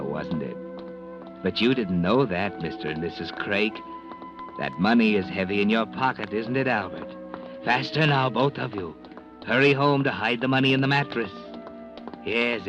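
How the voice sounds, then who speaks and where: A man's voice: lowish voice, natural voice. A man playing a sinister, taunting all-knowing narrator of an American radio mystery, insinuating and urgent, with an American accent. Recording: dull 1940s radio recording. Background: music.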